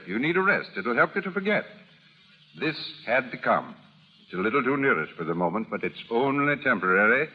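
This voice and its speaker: An actor playing a suave, commanding, ardent gentleman in 1930s radio drama style, with a smooth-talking, theatrical manner. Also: fairly low pitch. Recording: muffled 1930s radio broadcast recording.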